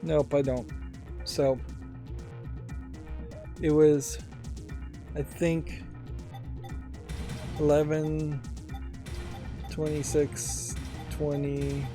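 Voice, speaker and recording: A man's voice: on the high side, little pitch movement, drawn-out words, pauses often, dark tone, faint music behind. A man hosting a let's play, giving casual game commentary in a musing, analytical way.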